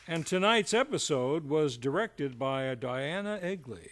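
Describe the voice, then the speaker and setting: High-voiced man announcing a radio show. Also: lively intonation, a little slow, noisy background. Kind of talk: scripted old-time radio announcing, closing credits. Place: live on a theater stage.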